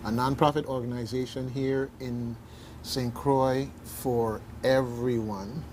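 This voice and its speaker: A man hosts a serious radio discussion in a mid-pitched voice. He speaks haltingly but with emphasis, repeating phrases for weight. There is some faint noise in the recording. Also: fairly even intonation, darkish tone. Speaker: radio talk show host. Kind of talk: hosting a serious community discussion.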